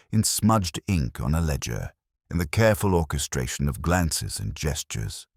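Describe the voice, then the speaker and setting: Male narrator for detective fiction: very deep, measured and formal, expressive intonation. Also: dry recording, clean studio sound. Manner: measured, formal, contemplative delivery. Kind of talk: first-person literary audiobook-style mystery narration.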